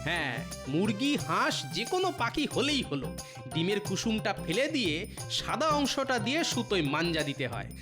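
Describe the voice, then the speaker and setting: A man's voice: sits high, big pitch swings, brisk, music in the background. A man voicing a crafty, jovial older village trickster in a cartoon, explaining things slyly.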